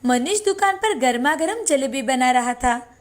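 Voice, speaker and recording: A woman narrating a children's moral story in a steady, expressive scripted reading. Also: sits high, a little slow.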